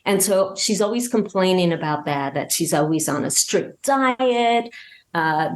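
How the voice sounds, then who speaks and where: A woman speaking as an interview guest, explaining things casually with hesitant phrasing. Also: lowish voice. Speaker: guest, voice actor and scriptwriter.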